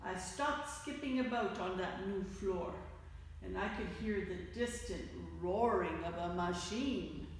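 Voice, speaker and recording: A woman reading a picture book aloud to children, with expressive, deliberate phrasing that stresses key words. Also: mid-range voice. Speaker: children's story reader. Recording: echoey hall, distant mic, faint noise.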